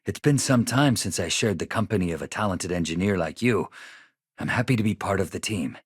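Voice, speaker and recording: An actor playing a soft-spoken, earnest male engineer haunted by loss, polite and measured, in a naturalistic video game style. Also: deep voice, animated, breathy edge, dry recording, clean studio sound. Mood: earnest, calm. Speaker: engineer and ship crew companion.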